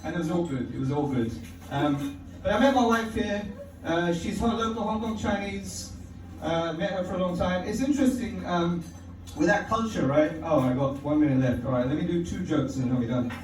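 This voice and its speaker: High-voiced man doing stand-up. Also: brisk, a little hiss or hum. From London. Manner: wry, profane, chuckles at his own lines. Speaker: stand-up comedian. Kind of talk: stand-up comedy set. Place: comedy club stage, indoors. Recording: echoey club audio from far off.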